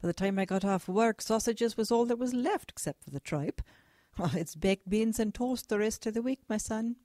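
A woman's voice: on the high side, moderate pace, mellow, dry recording, studio quality, natural voice. Woman acting a weary, strict wartime mother. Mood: weary scolding. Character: weary, strict but loving wartime English working mother. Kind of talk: radio drama acting.

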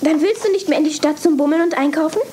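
An actress voicing an excitable, giggly teenage younger sister in an audio drama. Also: female voice, high voice, fast, a little hiss or hum, natural voice. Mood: excited. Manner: bubbly, giggly, breathless enthusiasm. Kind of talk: 1980s radio play acting.

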